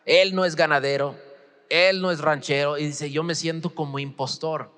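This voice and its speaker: A man's voice: fairly high pitch, steady tone, normal speed, a bit bright, clear, resonant tone. A man in his forties, a native English speaker, preaching as a pastor in an informal, conversational way, acting out bits of dialogue and putting questions to his listeners. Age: 40s. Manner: informal, acts out imagined dialogue, asks the listeners rhetorical questions. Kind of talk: conversational expository sermon in Spanish as a second language. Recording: reverberant hall with long echo.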